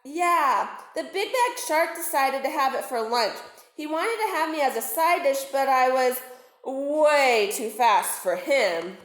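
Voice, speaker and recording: A boastful young narwhal boy from a storybook, voiced by a woman, excitable and singsong. The pitch is high and very lively, with kid-like slang. It sounds like an echoey room. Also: slow. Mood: casual.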